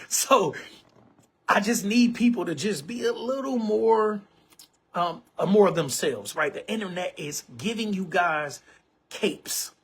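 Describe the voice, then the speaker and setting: A man's voice: sits high, animated, slow pace, a bit bright, breathy edge. A man in his forties hosting an online show, talking casually to camera in an emphatic, repetitive way and often calling listeners bro and man. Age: 40s.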